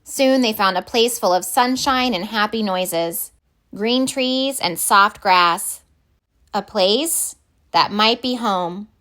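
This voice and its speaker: Slow, deliberate woman reading a storybook. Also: medium pitch, a bit bright. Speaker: storybook reader. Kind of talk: children's read-aloud narration.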